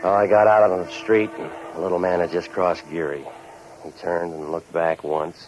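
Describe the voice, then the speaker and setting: An American man playing a cynical, wisecracking private detective in 1940s radio noir style, terse and deadpan. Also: a bass voice. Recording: muffled old 1940s radio recording.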